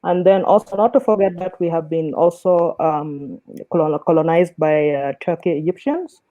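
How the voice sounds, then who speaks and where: Female panel guest from South Sudan, halting explanatory delivery, dry tinny call line. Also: fairly low pitch, fairly even intonation, no room sound. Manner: halting, searches for words. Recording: thin, tinny phone-like call audio.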